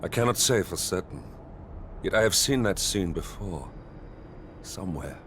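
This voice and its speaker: A man playing a solemn, remorseful veteran knight in a video game cutscene, speaking formally and gravely with measured diction. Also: low voice, animated, background noise. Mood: uncertain.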